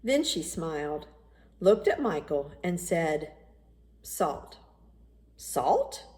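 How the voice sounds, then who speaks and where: A woman reading a children's storybook aloud as an expressive narrator. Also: low-pitched, slow pace. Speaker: audiobook reader.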